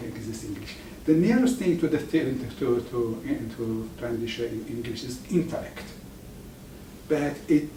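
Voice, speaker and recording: A man whose first language is Farsi gives an informal lecture to an audience, speaking haltingly and often restarting words. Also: mid-range voice, warm tone, slight background noise. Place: lecture room with live audience. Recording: echoey room, mic far off.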